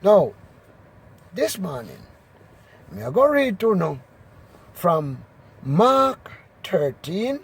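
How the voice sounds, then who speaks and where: A man with a Jamaican accent doing an expressive, emphatic scripture reading in Patois. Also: high-pitched, drawn-out words, creaky, long pauses, breathy edge. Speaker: Bible reader.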